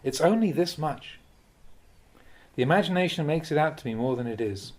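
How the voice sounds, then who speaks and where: A man reading a translated Buddhist dharma talk aloud like an audiobook, in a measured, expressive way. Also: fairly high pitch.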